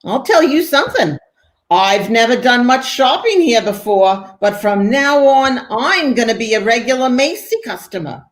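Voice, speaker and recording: A woman's voice: a little high, animated, moderate pace, natural voice. A woman playing a brash, plain-spoken mother with blunt, slangy talk in a 1940s-style radio play. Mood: impressed. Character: brash, plain-spoken, exasperated working-class city mother.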